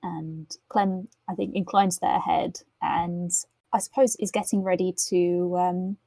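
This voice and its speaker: Slow, creaky, husky woman playing tabletop. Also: fairly low pitch, steady tone, mellow, dry recording. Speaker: player in tabletop role-playing game. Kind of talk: casual improvised game talk.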